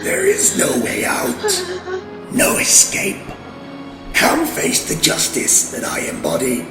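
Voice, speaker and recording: Music plays under a reverberant voice, that of a menacing male vampire hunter in a gothic audio drama. He is theatrical and taunting, very slow, with huge swings of pitch and a gravelly edge. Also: huge pitch swings, heavily acted.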